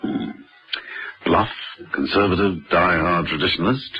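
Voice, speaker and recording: An actor with a British accent playing a suave, wry gentleman secret agent in a vintage radio drama, urbane and understated. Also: low-pitched, unhurried, slightly rough, exaggerated voice. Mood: musing, urbane. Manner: urbane, understated, dryly teasing. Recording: noisy vintage radio recording.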